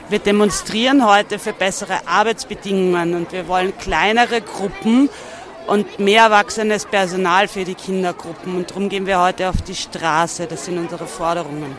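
A woman's voice: mid-range voice, steady tone, moderate pace. A woman, an educator in a street interview, listing points in simple repeated phrases. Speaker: after-school educator. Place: outdoors at a street demonstration. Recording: outdoor recording amid demonstration crowd.

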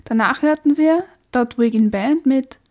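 A woman's voice: sits high, a little slow, a little mellow. A woman presenting a radio show in a scripted style, announcing segments as host.

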